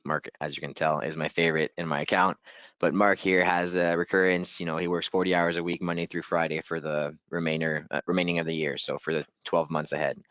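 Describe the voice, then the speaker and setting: A man leading a software training webinar in a casual, upbeat way, a little hoarse and clearing his throat. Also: deep voice, flat delivery, fairly quick, no echo, highs cut off. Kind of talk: webinar screen-share walkthrough.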